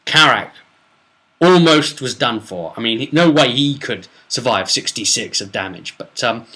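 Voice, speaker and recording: A man with a mid-pitched, sharp and bright voice recounts a tabletop role-playing adventure. He speaks as the game master, in an informal storytelling style. He leans on dramatic emphasis to carry the action. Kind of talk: casual recap narration of a tabletop role-playing session.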